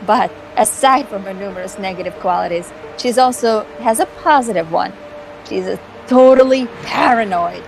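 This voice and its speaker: A woman playing a scheming, cocky female vampire hacker in an amateur visual novel performance, theatrical and condescending with dramatic pauses. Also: mid-range voice, creaky, natural voice, low-quality recording. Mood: smug. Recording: music behind the voice.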